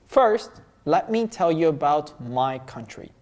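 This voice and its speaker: Slow upbeat man teaching English. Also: fairly high pitch, expressive, darkish tone. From Russia. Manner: upbeat, addresses viewers directly as guys. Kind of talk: scripted lesson monologue.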